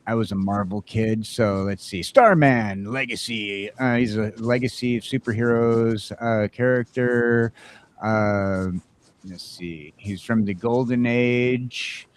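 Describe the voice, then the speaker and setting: A man hosting a casual live-streamed geek chat show, talking haltingly with lots of fillers and restarts. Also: lowish voice, fairly even intonation, a little hiss or hum. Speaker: podcast host.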